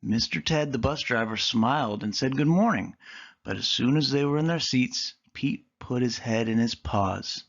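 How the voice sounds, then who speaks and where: A man reading a children's picture book aloud with expressive storytelling. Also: mid-range voice, normal speed, creaky, muffled recording.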